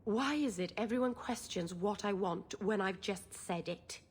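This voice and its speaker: A woman's voice: medium pitch, measured pace, husky. A woman playing an earnest, stubborn and sensitive young elf mage in a video game. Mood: angry, hurt. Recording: long hall-like reverb.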